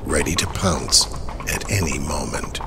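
A man's voice: very deep voice, a little slow, noisy background. A man narrating a horror audio drama in a dramatic, scripted storytelling style. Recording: music underneath.

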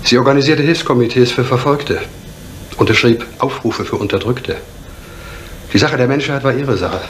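An actor playing a reserved, bitter divorced father in a vintage German crime drama, speaking in a measured way with deliberate pauses. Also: medium pitch, close to an everyday voice. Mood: bitter reflection. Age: middle-aged. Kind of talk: 1970s German television crime drama acting. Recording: faintly noisy vintage drama soundtrack.

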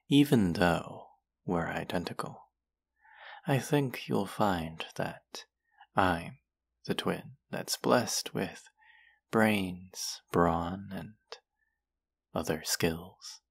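A man performs a smug young man in an audio roleplay, the condescending twin brother. His voice is very low and gravelly, with slow, taunting drawls. He talks straight at the listener in a mocking, teasing way. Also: exaggerated voice. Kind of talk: scripted roleplay addressed to listener.

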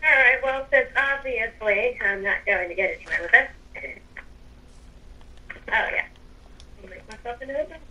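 A woman's voice: fairly high pitch, normal speed, pauses often, sharp tone, far from the mic, dull audio, a little hiss or hum. A young woman playing a character in a game roleplay, chatting playfully and rambling. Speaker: roleplay player. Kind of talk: casual in-character roleplay chat.

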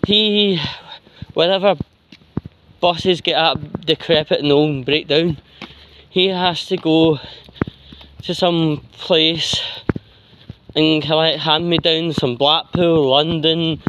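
A Scottish man rambling casually in a halting way, with long mid-sentence pauses, recording himself on a phone as he walks along a street. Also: fairly high pitch, fairly even intonation. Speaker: vlogger. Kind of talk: rambling casual walking monologue. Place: outdoors, walking along a street pavement. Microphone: handheld phone while walking. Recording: outdoor phone recording with traffic noise.

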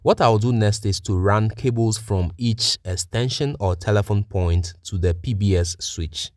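Low-voiced man narrating a how-to. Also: measured pace, a little mellow, dry recording, studio quality. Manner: methodical, plain-spoken. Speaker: technician presenting a how-to tutorial. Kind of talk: step-by-step instructional narration.

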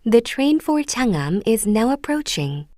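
A woman's voice: fairly high pitch, big pitch swings, drawn-out words, warm tone, no room sound, studio quality. A woman reading short scripted train station announcements, formal and carefully enunciated. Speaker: English-language station announcer. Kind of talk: scripted public transit announcement.

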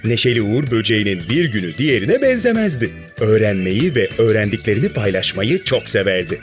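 A narrator telling a scripted children's story in an expressive way. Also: mid-range voice, brisk. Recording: music behind the narration.

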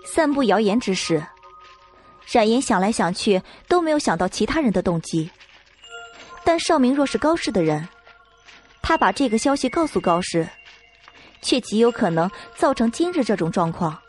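A woman reading a dramatic novel as an audiobook narrator, in measured storytelling style. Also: a little high, big pitch swings, a little mellow, faint music behind.

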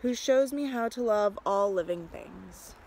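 A young adult woman reading a picture book aloud outdoors, with a measured, expressive storytelling delivery. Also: medium pitch, creaky, noisy background. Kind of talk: storybook read-aloud, narrated as a tree. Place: outdoors in snowy winter woods.

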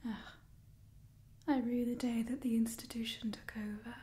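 A young woman playing an ancient, haughty vampire clan leader in a soft, breathy whispered ASMR roleplay, bitter and disdainful. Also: a little high, steady tone, close to an everyday voice. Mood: indignant, bitter. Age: young adult. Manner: disdainful, theatrical, weary sighing, slow and deliberate.

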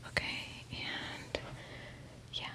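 A young woman whispering close to the microphone in a casual, reassuring ASMR roleplay as a hairdresser. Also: very slow. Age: young adult. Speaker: ASMR creator playing a hairdresser. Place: small room indoors at home. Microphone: close desktop condenser microphone.

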